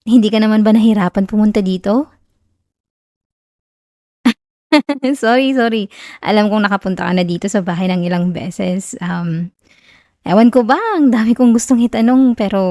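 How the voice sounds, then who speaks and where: A woman playing a shy, whiny tsundere best friend in an ASMR romance roleplay, with a Filipino accent and a stammering, halting delivery. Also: mid-range voice, dark tone, dry recording, clean studio sound, close to an everyday voice. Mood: nervous, welcoming, then flustered, awkward.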